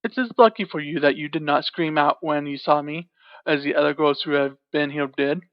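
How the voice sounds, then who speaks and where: Storybook giant, menacing and bossy, low, slow and loud; voiced by a woman narrator. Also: steady tone, dry recording. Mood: gruff. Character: huge gruff male giant, hungry and bossy. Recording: dull, dry lo-fi recording.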